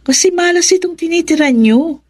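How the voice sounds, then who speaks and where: A Filipino actress playing a preachy, superstitious older sister who scolds insistently in a radio drama. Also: female voice, extremely high pitch, animated, normal speed, a little mellow. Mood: scolding, insistent.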